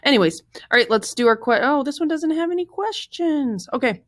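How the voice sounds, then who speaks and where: A woman's voice: high voice, lively intonation, fairly quick, dry recording, clean studio sound. A woman teacher chatting informally with students as she leads them through a lesson. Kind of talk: casual lesson chat with students.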